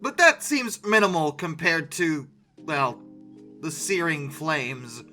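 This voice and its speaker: An incredulous, sarcastic host giving casual reaction commentary, with emphatic delivery and dramatic pauses. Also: medium pitch, lively intonation, sharp tone. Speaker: host of a paleoart reaction video. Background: music.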